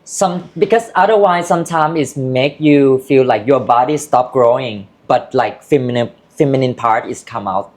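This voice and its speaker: A woman's voice: a bass voice, moderate pace, few pauses, darkish tone. A woman from Thailand with a Thai accent, speaking candidly as an interview guest in loose, broken English. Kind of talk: casual interview chat.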